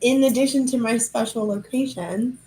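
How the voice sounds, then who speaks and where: A young adult woman hosting a podcast livestream from a high-rise apartment. She has a head cold that makes her nasal, and she chats in a casual, rambling, self-amused way. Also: normal speed, darkish tone, slight background noise, rough recording. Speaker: podcast host.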